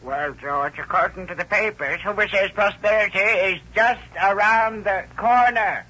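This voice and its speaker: A man's voice: high voice, a little slow, pushed and bright, slightly rough, full character voice. An actor playing a folksy rancher in his seventies in a 1930s radio drama. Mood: folksy, emphatic. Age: 70s. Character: seventy-year-old rancher chatting with his older brother. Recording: tinny 1930s radio recording.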